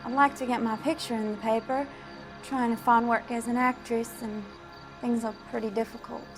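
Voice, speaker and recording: A woman's voice: a little high, steady tone, normal speed, soft background music, close to an everyday voice, low-quality recording. An actress playing a polite, hesitant young woman in her twenties, a demure witness in a crime drama game. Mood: apologetic. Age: 20s. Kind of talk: scripted crime drama acting.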